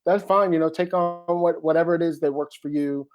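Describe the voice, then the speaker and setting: A man's voice: fairly high pitch, fairly even intonation, fairly quick. A man, a screenwriter, talking casually and animatedly as a guest, often checking in with "you know what I mean". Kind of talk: casual conversation.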